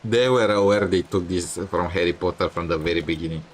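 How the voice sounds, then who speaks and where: A man giving casual game playthrough commentary, reacting aloud and reading dialogue in a halting way. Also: low-pitched. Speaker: game streamer. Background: game music.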